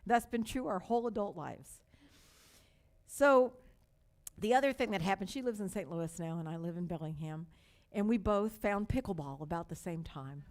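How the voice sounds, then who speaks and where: An older woman with a light Texas accent delivers a sermon from the pulpit. Her voice sits in a middle register, and she leans on slow, emphatic repetition before easing into conversational stories. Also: mid-range voice. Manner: slow, emphatic repetition with warm storytelling asides. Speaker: pastor.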